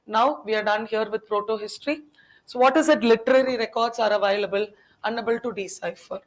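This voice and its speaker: A woman's voice: on the high side, brisk, low-quality recording. Chatty woman teacher quizzing her class. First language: Tamil. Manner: questioning students, chatty and teasing. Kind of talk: classroom lecture.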